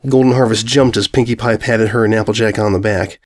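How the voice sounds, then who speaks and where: A man's voice: on the low side, steady tone, fast talker, darkish tone. A man reading a scripted story aloud as its narrator.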